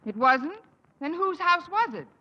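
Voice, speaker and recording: An actress playing a dry-witted, wisecracking schoolteacher who also narrates, in a 1950 radio sitcom. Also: female voice, extremely high pitch, very animated, highs cut off, exaggerated voice. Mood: wry. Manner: wry, quick-witted, sardonic. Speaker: narrator and lead. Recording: old radio broadcast with loud hiss.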